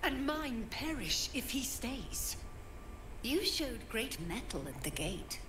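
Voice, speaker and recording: Stern, ruthless druid leader. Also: female voice, on the high side, big pitch swings, background noise. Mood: cold, commanding. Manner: solemn, commanding, measured. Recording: game audio with music underneath.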